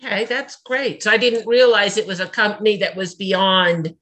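Woman radio host, medium pitch, friendly interviewer. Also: fairly even intonation, normal speed, fairly bright tone. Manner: friendly, prompting questions.

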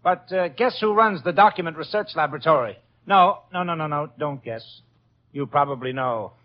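An actor playing a breezy, wisecracking detective's assistant in a 1950s radio drama, with a brisk, wry, slangy delivery. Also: male voice, fairly high pitch, lightly acted. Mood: wry, teasing. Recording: muffled vintage 1950s radio recording.

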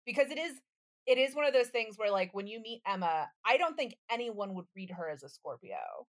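A woman's voice: on the high side, big pitch swings, fairly quick. A woman co-hosting a podcast, chatting in a casual, comedic way with a playful, slangy manner. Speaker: podcast host.

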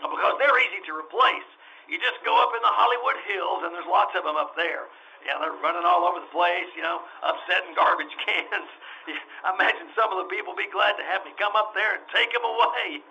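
A man's voice: sits high, fairly quick, gravelly, sharp tone, highs cut off, exaggerated voice. A man playing a flustered, folksy animal-show owner in old-time radio drama style, stammering and rambling as he repeats himself. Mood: earnest, chatty. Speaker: stage actor in radio troupe. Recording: faint noise, live radio re-enactment.

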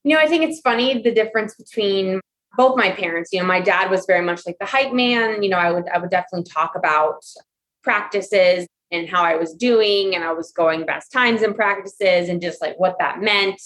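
A woman's voice: medium pitch, moderate pace, a bit bright, poor audio. A woman, a competitive swimmer, answering interview questions in a reflective, earnest way with frequent "you know" and "I mean".

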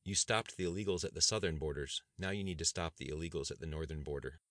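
A man's voice: very deep voice, fast talker, a bit bright, no room sound, studio quality. A man voicing a grave, earnest pastor. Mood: solemn. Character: earnest male pastor, grave spiritual advisor.

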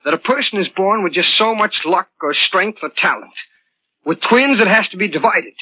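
A bitter, lovesick twin brother in a 1940s radio thriller. His voice is piercingly tense and husky, brooding with self-pity, on a dull vintage broadcast recording. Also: sits high, lively intonation, moderate pace, sharp tone, heavily acted. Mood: feverish resentment. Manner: intense, brooding, self-pitying. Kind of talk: 1940s radio drama acting.

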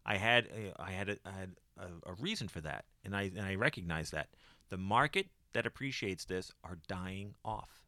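A man in his forties with a slightly low, bright voice talks in a casual podcast chat. The recording is dry and close. He often repeats words and restarts as he searches for a phrase. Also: expressive, normal speed, no room sound. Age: 40s.

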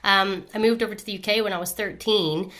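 A woman's voice: mid-range voice, steady tone, a little fast, fairly bright tone. A woman from the United States with a mixed American and Irish-sounding accent, hosting a podcast interview with an enthusiastic, bubbly manner. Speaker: podcast host.

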